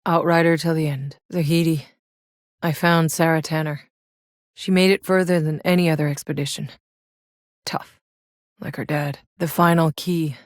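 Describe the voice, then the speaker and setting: A woman voicing a tough, wry female soldier in a sci-fi video game, with gruff, sardonic, casual swagger. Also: deep voice, steady tone, moderate pace, long pauses, no room sound, clean studio sound, lightly acted. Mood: solemn.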